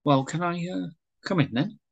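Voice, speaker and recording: Man acting a sheepish, pleading suitor, swooping pitch. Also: animated, normal speed, vocal fry, slightly rough, highs cut off, heavily acted. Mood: wheedling. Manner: hesitant, wheedling, easily flustered. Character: hopeful, sheepish suitor pleading with his lover. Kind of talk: amateur script read-through, acted dialogue.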